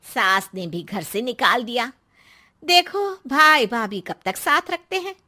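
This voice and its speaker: A woman voicing a gossiping, judgmental neighbour in an animated moral story. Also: on the high side, slow pace, full character voice.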